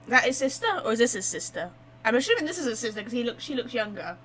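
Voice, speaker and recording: High-pitched, animated woman streaming games. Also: racing, a little hiss or hum. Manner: chatty, thinking aloud, informal. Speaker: game streamer. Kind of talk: casual live gameplay commentary.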